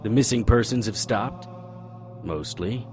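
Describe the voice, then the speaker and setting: A man's voice: on the low side, a little slow, over music, natural voice. A man playing a wry, stubborn occult investigator who narrates and argues in a noir audio drama. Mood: sardonic, insistent. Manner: sardonic, confrontational.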